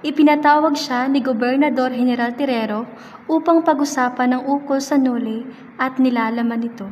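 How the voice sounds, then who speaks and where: A woman's voice: high-pitched, normal speed, a little mellow, slight background noise. A woman narrating a scripted radio drama in a formal, read-aloud storytelling manner. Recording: dull sound with hall reverb.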